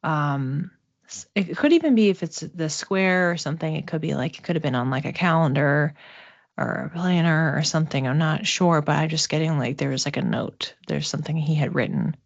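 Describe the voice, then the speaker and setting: Low-voiced woman, tentative and halting. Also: gravelly. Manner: tentative, halting, searching for words. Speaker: psychic medium giving a reading. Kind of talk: intuitive reading, spoken off the cuff to a live chat. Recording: slightly dull, roomy sound.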